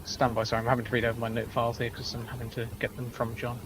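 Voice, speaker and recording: Brief: man as lawyer questioning a witness, quick and monotone, noisy game audio. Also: medium pitch. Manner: hesitant, clarifying, reading from notes. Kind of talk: game roleplay courtroom questioning.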